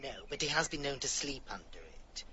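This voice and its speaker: An actor playing an awkward, chatty, well-meaning younger English sidekick, hesitant and cheeky, in a radio comedy-drama. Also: male voice, medium pitch, very animated, brisk, crowd noise, natural voice. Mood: cheeky, apologetic.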